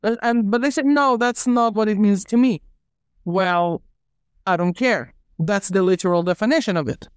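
A woman's voice: medium pitch, smooth, no echo, studio quality. A woman guest on a discussion podcast, speaking English as her second language. She argues emphatically and indignantly, often restarting her sentences.

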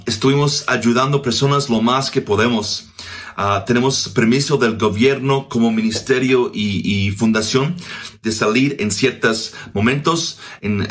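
Australian man, English native, halting speech, searching for words, at home. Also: mid-range voice, a bit bright. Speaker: guest worship minister. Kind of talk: casual video message.

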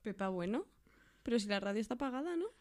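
A woman's voice: medium pitch, expressive, fast talker, darkish tone, lightly acted. A woman with an accent from Spain voicing a hapless, bewildered, flustered man in an amateur comic radio play. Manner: flustered, colloquial. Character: panicky, bewildered man, foul-mouthed and hapless.

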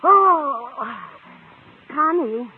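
A woman's voice: high voice, lively intonation, extremely slow, full character voice. An actress playing a sweet, absent-minded older landlady in an old-time radio comedy, fluttery and scatterbrained in manner. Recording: narrow, hissy old radio broadcast.

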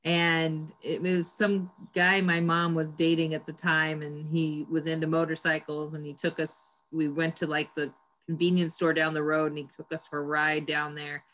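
A woman's voice: deep voice, level tone, normal speed, fairly bright tone, slight background noise. A middle-aged woman hosting a podcast interview, chatty and teasing, often laughing as she talks. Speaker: podcast host. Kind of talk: casual interview chat.

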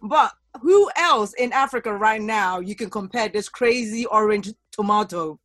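A woman's voice: mid-range voice, normal speed, a bit bright, no room sound, clean studio sound, faint music behind. A woman hosting a podcast in casual chat, blunt and teasing with her guests and co-hosts. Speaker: podcast host.

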